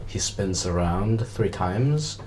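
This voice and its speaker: A man's voice: sits low, lively intonation, slow pace, a crowd in the background. A man playing a tabletop game, improvising a devout character in a matter-of-fact, insistent way. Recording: clearly noisy recording with clicks.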